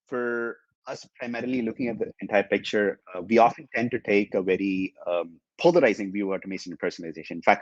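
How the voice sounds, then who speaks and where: A man's voice: fairly low pitch, no room sound, muffled recording. A man whose first language is Tamil, presenting a business webinar with many ums and uhs as he explains. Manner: many fillers, hesitant, explanatory. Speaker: webinar speaker, company executive.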